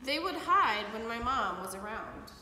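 A middle-aged woman reading a picture book aloud to children with expressive, animated storytelling, recorded in a living room by a camera a few feet away. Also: on the high side, measured pace. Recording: echoey hard-walled room, some background noise.

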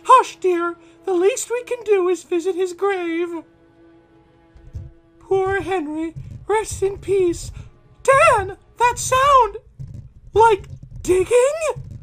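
A woman plays a young newlywed bride in a horror comic tale. The voice is extremely high and slow, melodramatic and timid, with music behind. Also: expressive, exaggerated voice. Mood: tender, uneasy.

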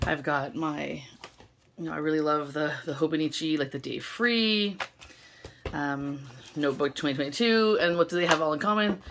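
A young woman with a low, gravelly, hoarse voice, worn thin from losing it. She chats eagerly through an unboxing, full of ums and little asides, close to a camera over her table. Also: normal speed, a little hiss or hum. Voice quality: gravelly, strained. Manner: chatty, many fillers, excited. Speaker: stationery vlogger. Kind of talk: casual unboxing vlog. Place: indoors at a table, filming overhead. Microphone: camera held close over the table.

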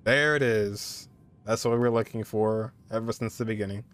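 A man's voice: lowish voice, fairly even intonation, moderate pace, fairly bright tone, smooth. A young man in his twenties casually commenting as he reacts to a game, informal and emotionally invested. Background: game music.

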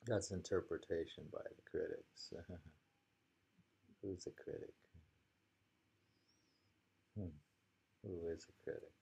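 A man in his sixties with a low, husky voice reads poetry aloud at home. He muses over the lines informally, leaving long pauses and moving at a very slow pace. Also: steady tone, dark tone. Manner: unhurried, musing, with pauses. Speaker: poetry reader. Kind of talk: reading haiku aloud with casual informal commentary. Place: small room indoors at home.

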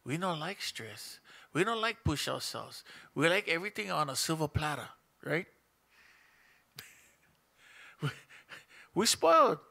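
A man's voice: on the high side, big pitch swings, a little slow, vocal fry, pauses often, sharp tone. A middle-aged man with a Hawaiian Pidgin-tinged accent, preaching conversationally from his seat in a small church room and asking the congregation questions. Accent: Hawaiian Pidgin-tinged English. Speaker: pastor. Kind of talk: sermon. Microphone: stand mic in front of him, seated.

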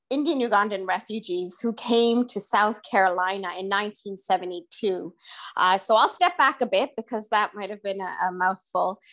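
A woman's voice: mid-range voice, fairly bright tone. A middle-aged woman speaks over a video call from her kitchen, her voice sitting in an ordinary middle register. She talks loosely and conversationally, piling in fillers and restarting sentences as she explains her project. The sound comes from a camera mic a short distance away in a home kitchen. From Tanzania. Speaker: oral history researcher presenting her project. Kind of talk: informal presentation to a group.

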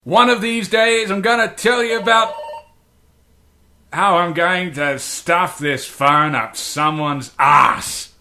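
A man's voice: high-pitched, slow, sharp tone. A man doing amateur voice acting, delivering script lines with exasperated, sweary asides. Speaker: amateur fandub voice actor.

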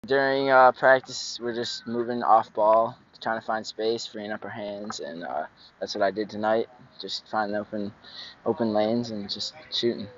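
A young man, a high school lacrosse player, speaking matter-of-factly with some hesitations in a post-game interview outdoors at night. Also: fairly even intonation, normal speed, dull audio. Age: young adult. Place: outdoor sports field at night. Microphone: handheld mic.

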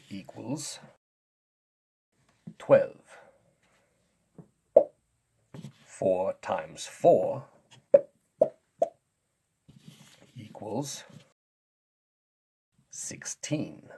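A man playing a patient, soft-spoken teacher with a gentle, unhurried manner in a kids' multiplication skit. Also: fairly low pitch, slight background noise, exaggerated voice. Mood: instructive.

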